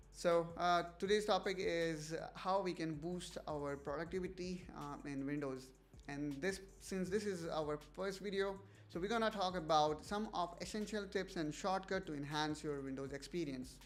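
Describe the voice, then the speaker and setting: A man hosting a casual tech livestream, talking hesitantly with many fillers and restarts while checking in with his viewers. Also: a little high. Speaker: livestream host.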